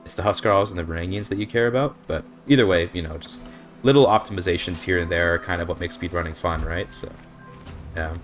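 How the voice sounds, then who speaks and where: A man's voice: sits low, fast talker, soft background music. A young man with a slightly scratchy throat, casually explaining his strategy while playing a game, thinking aloud with fillers. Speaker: speedrunner commentating gameplay. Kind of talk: casual gameplay commentary. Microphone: desk microphone.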